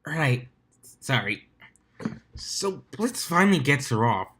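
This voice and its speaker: A man voicing a brash female pegasus stunt flyer, gossiping in excitable slang for an amateur fan fiction reading. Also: medium pitch, slow, a little hiss or hum. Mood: gossipy, excited. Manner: excitable, slangy storytelling with many likes.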